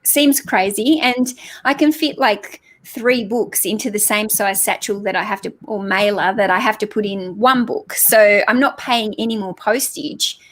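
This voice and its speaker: A woman's voice: medium pitch, unhurried, few pauses, a bit bright. A woman with an Australian accent, a guest chatting casually on a video call, explaining things step by step with lots of fillers. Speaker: guest on a reselling live chat. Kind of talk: casual chat.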